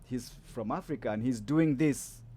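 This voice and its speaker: A man hosts a casual podcast chat with a guest. His voice is mellow and relaxed, and he rambles freely with fillers and restarts. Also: mid-range voice, normal speed, slightly rough. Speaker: podcast host.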